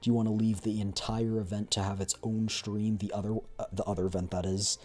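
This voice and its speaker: Male streamer, low-pitched voice, informal chat with viewers. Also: flat delivery, a little fast, darkish tone. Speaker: livestream host.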